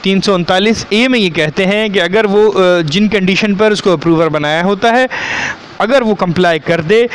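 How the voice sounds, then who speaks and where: A high-pitched male voice with a Pakistani accent delivers an explanatory legal lecture. He moves between reading out and explaining, at times halting from word to word. A faint vehicle-like noise sits behind the voice. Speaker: law lecturer.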